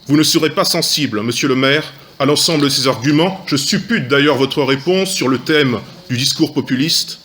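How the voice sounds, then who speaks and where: A man's voice: medium pitch, moderate pace, few pauses, a bit bright. A man, an opposition councillor, delivering a prepared political speech in formal, literary phrasing. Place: council meeting room. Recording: faint background noise, clicks.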